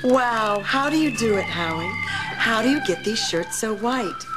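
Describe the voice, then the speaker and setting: A wide-eyed housewife in an old-time radio soap commercial. Her voice is fairly high and gushing, full of scripted admiration, with clear music underneath. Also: animated, a little slow, poor audio. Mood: bright amazement.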